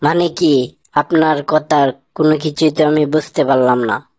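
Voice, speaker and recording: A man's voice: on the high side, fairly even intonation, normal speed, a little mellow, dry recording, studio quality, lightly acted. A man with a Bangladeshi accent voicing a grumpy, baffled man in a comic cartoon skit, with blunt, questioning delivery. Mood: stern, baffled. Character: grumpy, baffled man, stern boss and troubled friend.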